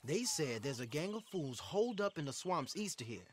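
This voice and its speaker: A man playing an eager, sharp young outlaw in a Western game, speaking in an earnest, folksy way. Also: fairly high pitch, sing-song, moderate pace. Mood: eager, pitching. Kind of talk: video game cinematic acting. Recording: clearly noisy outdoor game ambience.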